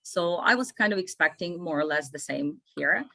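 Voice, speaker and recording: A woman's voice: on the low side, animated, moderate pace, vocal fry, pushed and bright, no echo. A woman, an immigration consultant talking casually as a guest and repeating phrases for emphasis. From Ukraine. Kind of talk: casual interview talk.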